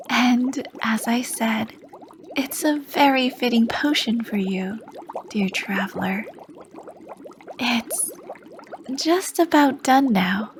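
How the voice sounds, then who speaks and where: A woman voicing a charming, sly female potion master as a shopkeeper in an ASMR roleplay, speaking softly and flirtatiously and often dropping into whispers. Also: on the high side, slight background noise. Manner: soft, flirty, drifts into whispers.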